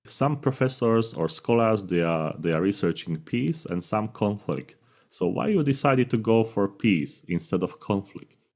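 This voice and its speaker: Brief: male host, lowish voice, lively intonation, inquiring. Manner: inquiring, slightly halting phrasing. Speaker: podcast host, interviewer. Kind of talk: interview questions.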